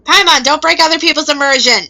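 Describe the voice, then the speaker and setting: Woman gamer, bright highish voice, casual reactions, exclamatory asides, faint background noise. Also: fast talker, creaky. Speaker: streamer playing a game.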